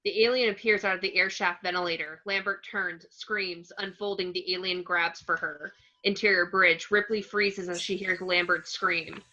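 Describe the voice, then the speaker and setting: Low, bright-edged woman narrator. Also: steady tone, moderate pace, muffled recording. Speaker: narrator reading action descriptions. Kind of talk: screenplay stage-direction narration.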